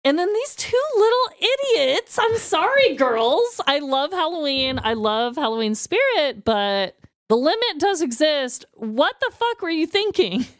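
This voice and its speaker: A woman's voice: high voice, big pitch swings, measured pace, no echo, studio quality. A woman co-hosting a podcast in casual comedic chat, blunt and sweary. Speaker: podcast co-host.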